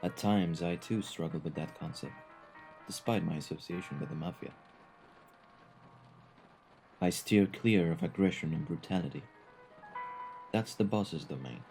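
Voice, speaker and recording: A man acting out a very low-voiced gentleman of the mafia for an audio roleplay. He is cultured and courteous, choosing formal, eloquent words in a measured way. A calm menace sits under the politeness, with faint music playing behind him. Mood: gentle, advising.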